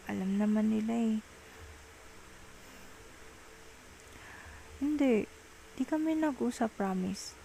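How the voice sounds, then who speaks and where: A woman acting as a young Filipino girlfriend in an ASMR roleplay, apologetic and pleading. Her voice is soft and mellow, and she talks slowly and hesitantly, coaxing her partner. A faint noise sits behind the intimate recording. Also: fairly high pitch, gravelly, low-quality recording. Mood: defensive, coaxing.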